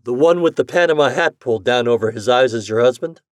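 A man's voice: medium pitch, fast, dry recording, voice pushed up, natural voice. A man voicing a youthful, shrewd yet diffident detective in an audiobook, with a clipped, questioning manner. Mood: polite, probing. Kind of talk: audiobook character dialogue.

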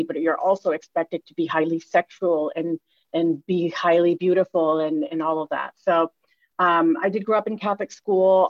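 A woman in her thirties from Puerto Rico, telling her life story in a radio interview, reflective and halting with many fillers. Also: lowish voice, no room sound, dull audio. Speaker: guest, new host.

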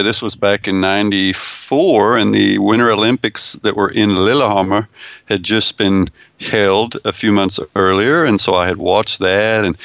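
A man's voice: fairly low pitch, a bit bright, clear, resonant tone, dry recording, highs cut off. A man in his fifties, a sports science professor, answering interview questions in a thoughtful way with restarts and small laughs.

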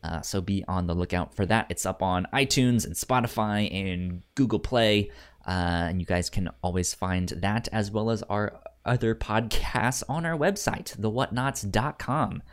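A man's voice: fairly low pitch, unhurried. Man, podcast host, casual conversational delivery, frequent stammer on word beginnings. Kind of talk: casual film podcast chat.

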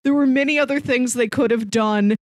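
High-voiced American woman chatting about film. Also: a little fast, no echo. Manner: animated storytelling, laughing through sentences, voices imagined quotes. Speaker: podcast co-host. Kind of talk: casual film discussion.

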